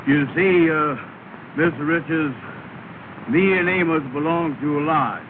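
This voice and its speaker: A man's voice: on the high side, unhurried, natural voice. An actor playing a bossy, blustering grown man who takes charge and gives orders, in early 1930s film comedy acting. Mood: explaining. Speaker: comic film character. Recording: scratchy, noisy, tinny 1930 film soundtrack.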